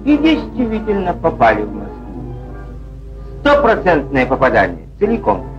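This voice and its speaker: High, animated male 1940s newsreel narrator. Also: moderate pace. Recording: dull 1940s newsreel film soundtrack. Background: music.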